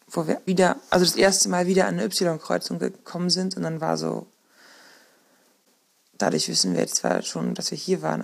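A woman talking casually and haltingly, thinking aloud as if recording an audio diary. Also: fairly low pitch, creaky. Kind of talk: casual spoken audio diary, recounting dreams. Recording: noisy informal recording with ambient sound.

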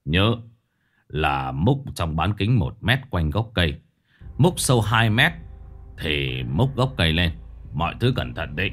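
Deep, low, deliberate ritual master, voiced by the male narrator; stern, unhurried commands. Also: sing-song, fairly bright tone, full character voice. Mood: commanding. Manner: slow, firm, commanding.

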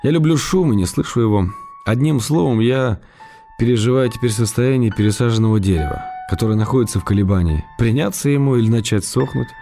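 Low, mellow, wry writer. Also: male voice. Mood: wistful, complaining. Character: wry, lonely male writer in provincial exile, gently affectionate. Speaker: actor in a radio play. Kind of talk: dramatic letter reading, radio play. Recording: radio broadcast with music behind. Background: music.

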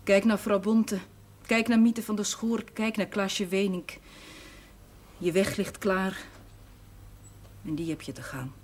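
An actress playing a self-sacrificing priest's housekeeper in a television drama, earnest, plain-spoken and resigned. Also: female voice, medium pitch, fairly even intonation, slow, darkish tone. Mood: bitter, resigned. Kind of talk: naturalistic television drama acting. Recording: noisy old television soundtrack.

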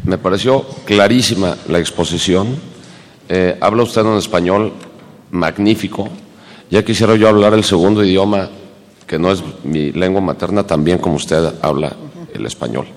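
A man on a hearing panel gives measured, hesitant formal remarks with many fillers. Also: low voice, long reverb tail. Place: hearing hall.

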